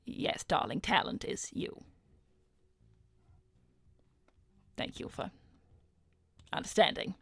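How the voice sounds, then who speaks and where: A woman voicing a smug, seductive hedgehog celebrity businesswoman in an animated roleplay stream, suave, flirtatious and coolly condescending. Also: on the low side, measured pace, vocal fry, husky, no room sound, exaggerated voice. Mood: coaxing. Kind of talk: improvised in-character livestream roleplay.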